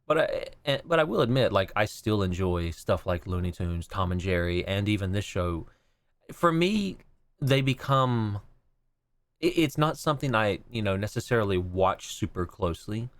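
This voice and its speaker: A man's voice: fairly low pitch, big pitch swings, normal speed, no room sound. A man chatting casually as a podcast co-host, giving his opinions in an easygoing, agreeable way.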